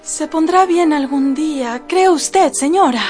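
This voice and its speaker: A woman's voice: high-pitched, measured pace, over music. An actress playing an anxious, tender young woman in a radio drama.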